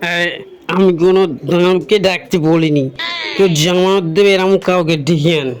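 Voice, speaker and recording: Man doing a high-voiced whiny cartoon drunkard. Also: fairly even intonation, moderate pace, lightly acted. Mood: complaining. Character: foolish, complaining male drunkard in comic trouble. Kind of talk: comic Bengali cartoon dubbing.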